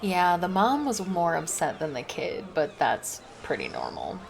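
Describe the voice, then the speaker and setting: A relaxed, flirty lifeguard, as a woman plays her with a low, gravelly voice, drawling slowly and teasing between easygoing remarks. The ASMR roleplay sits over loud beach ambience. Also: a little hiss or hum, full character voice. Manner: casual, teasing, easygoing chatter.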